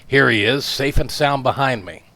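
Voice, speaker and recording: A man voicing a gruff, domineering professor who rumbles and mocks his wife and a visitor. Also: moderate pace, pushed and bright. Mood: gruff mocking. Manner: rumbling, sardonic. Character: gruff bullying male professor, mocking and domineering.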